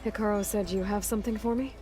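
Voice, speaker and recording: A woman's voice: mid-range voice, fairly even intonation, fast, dark tone, soft background music, natural voice. A young woman playing a self-assured, wary warrior heroine in a video game, direct and dry in delivery. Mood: firm, dry. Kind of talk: naturalistic video game acting.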